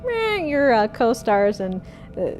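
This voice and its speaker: A woman's voice: on the high side, big pitch swings, measured pace, darkish tone, soft background music. A woman speaking hesitantly and thoughtfully in a documentary interview about her acting work. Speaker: amateur voice actor.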